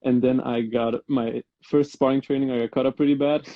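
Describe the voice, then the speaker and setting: A man's voice: medium pitch, fairly even intonation, normal speed, dry recording, lo-fi sound. A young man from Belgium hosting a podcast interview over a video call, hesitant, filling with um and like. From Belgium, Antwerp. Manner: hesitant, fills with "um" and "like". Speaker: podcast host. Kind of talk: casual interview.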